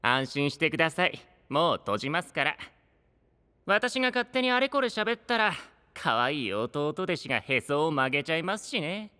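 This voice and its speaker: A theatrical knight storyteller in an anime audio drama, bright and lively in pitch. He speaks with polite, teasing grandeur. The voice rings in a long reverb over music. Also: normal speed, smooth. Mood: playful. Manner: polite speech, playful and grandiose.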